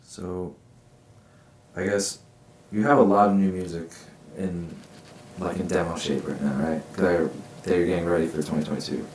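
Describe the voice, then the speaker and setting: Deep-voiced man asking easygoing questions. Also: moderate pace, long pauses. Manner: relaxed, hesitant questions with many restarts. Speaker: interviewer. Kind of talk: casual interview. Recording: roomy with faint background noise.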